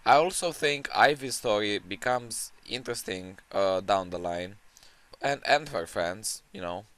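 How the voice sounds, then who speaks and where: A man with a hoarse, strained voice giving casual, unscripted commentary as he ranks books, rambling and opinionated with many restarts. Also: lowish voice, lively intonation, a little slow, fairly bright tone. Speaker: book-ranking video creator.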